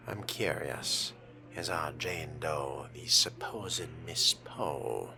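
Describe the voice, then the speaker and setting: A man with a very low voice narrates a game in character. His delivery is very slow and deliberate, formal in wording and often hushed, as if musing to himself. Music plays clearly behind him. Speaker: game player role-playing a vampire character.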